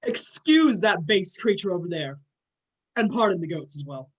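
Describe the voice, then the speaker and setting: A proud thunder god played by an amateur reader, grand and archaic in speech and gruff in temper. The voice sits in a middle register, in the manner of a dramatic myth reading. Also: mid-range voice, big pitch swings, normal speed, poor audio. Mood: courteous, proud. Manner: formal, archaic, grand phrasing.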